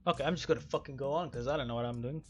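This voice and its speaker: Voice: mid-range voice, rapid-fire, darkish tone. A gaming video host giving casual commentary, muttering unsurely to themself and often repeating words. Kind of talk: casual gameplay commentary, reading game dialogue aloud.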